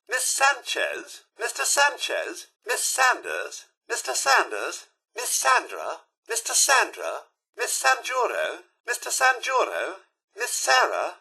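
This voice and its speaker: A courteous British robot butler for a video game. His delivery is prim and formal, swinging wildly in pitch at an unhurried pace. The tone is sharp and gravelly, with every word carefully enunciated. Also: exaggerated voice, low-quality recording. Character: polite, prim robot butler, male-voiced, eager to serve. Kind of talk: video game voice lines, names called out formally.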